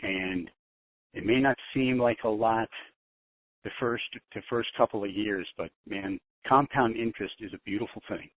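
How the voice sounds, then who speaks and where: A man offers casual advice in a slightly low voice. He talks informally, circling back over his phrases, through a narrow, dry telephone connection. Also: moderate pace, no echo. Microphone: telephone conference line. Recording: narrow muffled phone line.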